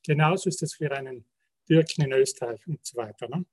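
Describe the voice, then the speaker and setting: A man, a sociologist calmly explaining survey results in a panel talk. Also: mid-range voice, husky. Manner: measured, explanatory, citing percentages. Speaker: sociologist presenting survey research.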